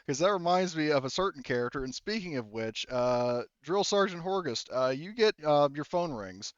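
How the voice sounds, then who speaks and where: A man's voice: a little high, lively intonation, normal speed, clear, resonant tone, no echo, lo-fi sound. A man running a tabletop roleplaying game, narrating casually and chatting with his players. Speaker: game master.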